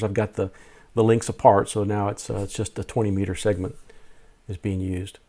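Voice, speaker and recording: A man's voice: fairly low pitch, a little mellow. A man narrating a casual hobby vlog, explaining things step by step in a plain-spoken way. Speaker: amateur radio video host.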